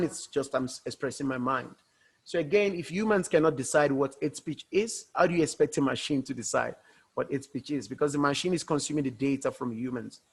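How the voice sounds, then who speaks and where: Guy w a giving a research talk on a video call, bit higher voice, loose and chatty, keeps rephrasing. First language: Yoruba. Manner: informal and loosely explaining, often rephrasing mid-sentence. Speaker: researcher presenting at a workshop. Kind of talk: academic conference presentation.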